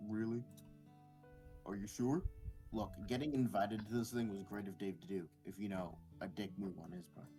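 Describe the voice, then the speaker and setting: A man's voice: fairly low pitch, fairly even intonation, normal speed, warm tone. A man doing a casual, jokey read-aloud of visual novel dialogue, dropping informal asides. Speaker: co-reader in a visual novel let's play. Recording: music playing behind the voice. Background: guitar music.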